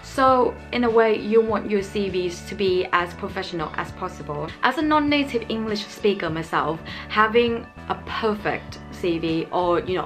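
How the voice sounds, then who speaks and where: A young woman speaks to camera in a small room at home, earnest and explanatory. Her voice sits a touch low for a woman, and soft music plays faintly beneath. Her English carries small grammar slips. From Thailand. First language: Thai. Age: young adult. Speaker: channel host. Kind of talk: scripted advice vlog to camera. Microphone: camera framing, head and shoulders.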